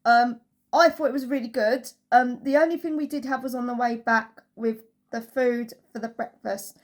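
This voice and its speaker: A woman's voice: a little high, steady tone, moderate pace. A woman giving a casual, chatty holiday review as a travel vlogger, telling it through anecdotes.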